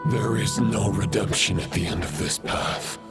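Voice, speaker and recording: A tragic dark knight from a game, deep and slow. He sounds grave, brooding and fatalistic, with loud music swelling around the voice. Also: creaky. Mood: grim resolve. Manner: solemn, grave. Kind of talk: dramatic video game acting.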